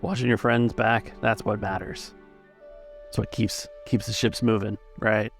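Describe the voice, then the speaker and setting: A man voicing a gruff, plain-spoken old navy veteran in a tabletop game. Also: fairly low pitch, level tone, measured pace. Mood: gruff, weary. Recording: music behind the voice.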